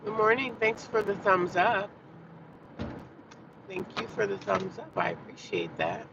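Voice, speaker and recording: A stuffy, congested woman talks casually to her live viewers, with a gravelly fry running through her voice. She sits back from a dull, lo-fi mic, and loud street and car noise fills the background. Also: mid-range voice, huge pitch swings, measured pace. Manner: chatty, repeats herself, greets viewers warmly. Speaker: vlogger. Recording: dull lo-fi sound from a distance with loud outdoor traffic.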